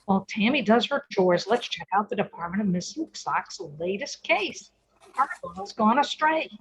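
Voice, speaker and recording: A woman's voice: medium pitch, animated, moderate pace, a little hiss or hum, low-quality recording. A woman announcing and narrating a scripted old-time radio show in a presentational manner.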